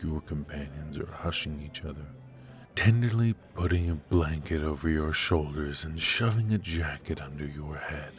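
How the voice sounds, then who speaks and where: A menacing dark warlord, played by a man, speaks in a profoundly deep voice. He drags out his words very slowly, silky and taunting, as a theatrical villain in an intimate ASMR fantasy roleplay. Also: heavily acted. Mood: smug, menacing. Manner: theatrical, taunting, drawn-out delivery with sighs and soft chuckles.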